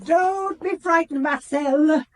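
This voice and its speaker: A woman's voice: high-pitched, takes it slowly, a little hiss or hum. An actress playing an imperious, fiercely protective older mother in a radio drama, indignant and clipped. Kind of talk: British radio drama acting.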